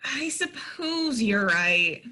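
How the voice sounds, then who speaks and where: A bright, high-voiced young small-town woman played by an actress in a stage play reading. She is eager and naive, with lively swoops of pitch and a nervous, questioning hesitancy. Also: drawn-out words, exaggerated voice. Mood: nervous, hesitant. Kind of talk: play reading, stage comedy-drama dialogue.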